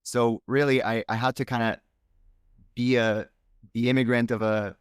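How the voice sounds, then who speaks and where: A man's voice: mid-range voice, little pitch movement, normal speed, clear, resonant tone, dry recording, clean studio sound. A man from Romania, whose first language is Romanian, speaking as a podcast guest in a frank, reflective, casual interview chat. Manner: frank, reflective, thinks aloud.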